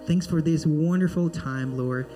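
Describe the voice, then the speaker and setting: A man's voice: on the high side, unhurried, warm tone, faint music behind. A man from Peru, a missionary speaking in church in a friendly way and leading prayer. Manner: friendly and joking, then earnest in prayer. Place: church sanctuary.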